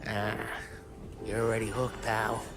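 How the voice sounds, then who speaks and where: A man's voice: on the low side, completely flat delivery, unhurried, lightly acted, low-quality recording. Man acting a restless, streetwise American mobster. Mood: wistful. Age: around 40. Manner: streetwise, slangy, swearing. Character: weary, restless mobster near forty, dreaming of getting out. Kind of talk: dramatic video game cutscene acting. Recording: music behind the voice.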